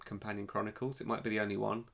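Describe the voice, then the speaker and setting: Male podcast co-host, lowish and very creaky, forceful edge, blunt casual opinions. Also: level tone, rapid-fire.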